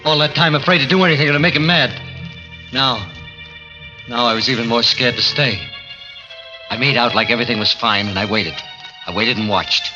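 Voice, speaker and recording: An actor playing a frightened, jittery working man on the run in 1940s radio horror, stammering and halting in plain colloquial speech. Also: medium pitch, over music, lightly acted. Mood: jittery, desperate. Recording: muffled vintage 1940s radio recording.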